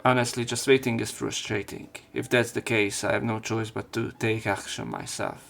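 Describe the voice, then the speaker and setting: Casting: male let's player, lowish voice, reading visual novel narration over game music. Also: steady tone, moderate pace, gravelly, a bit bright. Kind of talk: reading translated game narration and dialogue aloud.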